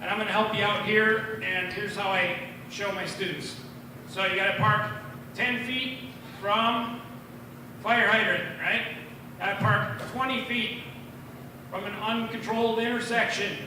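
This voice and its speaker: Casting: middle-aged American male amateur comic, high voice, rambling and halting, recorded from a distance in a very reverberant room. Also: fairly even intonation. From Minnesota, United States. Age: 40s or older. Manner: rambling, halting delivery with tangents. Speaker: amateur stand-up comedian at an open mic. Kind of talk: stand-up comedy set. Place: bar open mic room. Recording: very echoey, distant room recording, clear noise.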